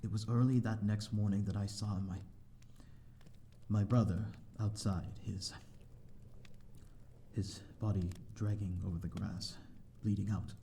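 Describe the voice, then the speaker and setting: A man's voice: on the low side, steady tone, unhurried, long pauses, warm tone, a little hiss or hum. A man playing a lonely, courteous vampire in an audio roleplay, speaking in formal, old-fashioned, hesitant phrases to the listener. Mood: sombre, grieving. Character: lonely, courteous male vampire living in an abandoned manor.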